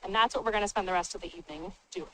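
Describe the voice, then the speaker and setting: A woman on city staff presenting and moderating at a public town hall meeting, speaking informally and plainly with a loud, booming voice into a handheld microphone. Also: very fast, slight background noise. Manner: plain-spoken, informal, upbeat.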